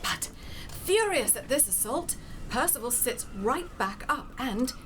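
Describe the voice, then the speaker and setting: A woman's voice: high voice, animated, measured pace, a little hiss or hum. A woman narrating a scripted story in a dramatic storytelling style. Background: music.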